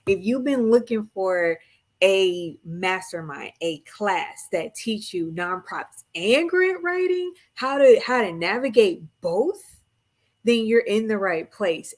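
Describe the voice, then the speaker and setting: A woman giving a casual promotional talk as a co-host and coach, in a halting way that often repeats phrases. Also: medium pitch. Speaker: mastermind co-host and nonprofit grant coach.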